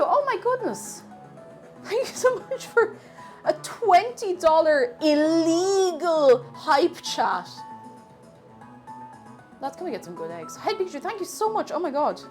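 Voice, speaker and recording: An Irish woman with a sniffly cold, chatting casually with viewers while cooking on a live stream. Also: on the high side. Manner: chatty and animated, thanks viewers warmly.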